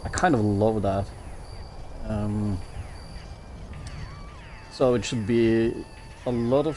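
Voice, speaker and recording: A man with a Norwegian accent talks through his gaming session in an easygoing, chatty way, with self-mocking jokes along the way. His voice has a gravelly edge. He speaks unhurriedly, and faint noise hums underneath. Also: mid-range voice, darkish tone. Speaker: game streamer. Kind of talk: casual commentary while playing a building game.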